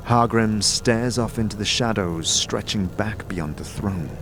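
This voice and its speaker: A man's voice: lowish voice, measured pace, noisy background. A man narrating an audio drama in the second person with scripted, descriptive delivery. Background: music.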